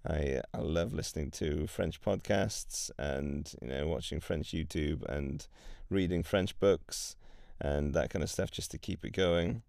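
A young man from Wales, a guest on a podcast, talking in a relaxed and chatty way. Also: very deep voice, measured pace, creaky, few pauses, smooth, no room sound, studio quality. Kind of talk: casual conversation.

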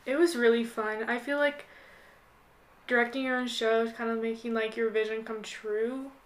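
A woman's voice: on the high side, steady tone, moderate pace. A young woman chatting casually as a podcast guest, in an informal, conversational way. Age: young adult. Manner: informal, frequent "like". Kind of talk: casual chat.

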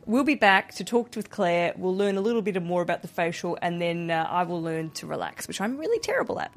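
A woman's voice: fairly low pitch, a little fast. Chatty Australian woman podcast host. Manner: chatty, jokey and lively. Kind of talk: casual chat.

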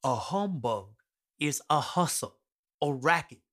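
An irreverent male storyteller narrates a radio drama in colloquial, streetwise style. His voice is bright and fairly high, very animated, speaking straight to the listener. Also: measured pace. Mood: wry, explaining. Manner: colloquial, wry, addresses listeners directly.

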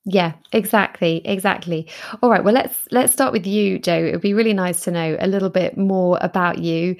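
A woman's voice: on the low side, normal speed, gravelly. Teasing woman podcast host. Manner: friendly, teasing, encouraging questions. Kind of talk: interview hosting.

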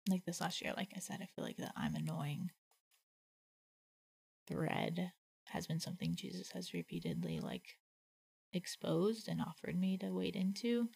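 A woman's voice: fairly low pitch, wildly swooping pitch, a little slow, vocal fry, long pauses, a little mellow, slightly rough. A woman co-hosting a casual faith conversation, reflective and thinking aloud with many "like" fillers. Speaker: podcast co-host.

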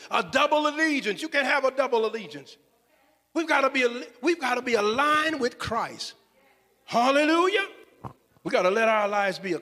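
A man's voice: very high voice, pushed and bright. A man preaching a fervent sermon with scripture, exclaiming and repeating phrases for emphasis. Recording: echoey hall with long reverb.

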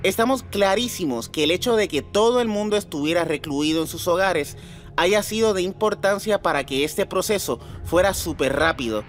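A man's voice: high voice, a bit bright. A man presenting scripted podcast commentary as host, putting rhetorical questions to his listeners. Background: background music.

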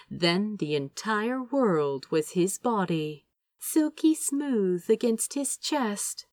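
A woman reading romantic fiction aloud as an expressive, dramatic audiobook narrator. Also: mid-range voice, no echo. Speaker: audiobook reader.

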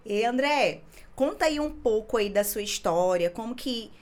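A woman from Maranhão co-hosting a podcast, asking lively questions in casual chat. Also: a little high, normal speed. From Imperatriz, Maranhão, Brazil. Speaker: podcast co-host.

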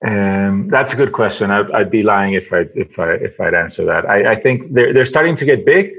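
A man from Venezuela, speaking English as a guest on a podcast, halting and repeating words as he thinks aloud. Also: fairly low pitch, expressive, vocal fry, breathy edge, dull audio. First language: Spanish. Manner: halting, many repeated words, soft laughs. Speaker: podcast guest, advertising creative. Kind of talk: casual interview chat.

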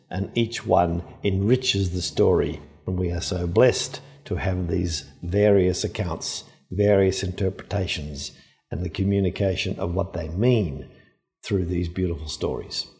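A man speaking as a priest, giving a measured, explanatory reflection on a Gospel story. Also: fairly low pitch, dark tone. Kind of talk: reflective sermon commentary on the Gospel.

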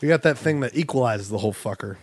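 A man hosting a casual podcast chat on a podcast microphone, loud and teasing, laughing easily. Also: mid-range voice, animated, fast talker. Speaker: podcast host.

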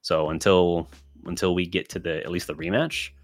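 A man hosting a solo sports podcast, thinking aloud as he answers listener questions, his voice getting scratchy and raspy. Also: low voice, fairly even intonation, normal speed. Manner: thinking aloud, with restarts and fillers. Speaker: podcast host.

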